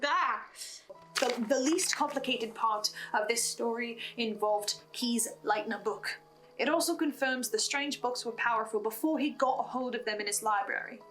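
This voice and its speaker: A woman's voice: a little high, normal speed, fairly bright tone, low-quality recording. A woman narrating a scripted recap as the host of an analysis video, with an earnest, investigative manner. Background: music bed.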